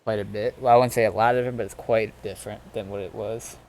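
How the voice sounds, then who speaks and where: A man giving a casual, rambling gaming commentary in a halting way, often trailing off. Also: on the low side, fairly even intonation, a little mellow, slight background noise, rough recording. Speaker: gaming video host. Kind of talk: casual rambling gameplay commentary recapping roleplay events.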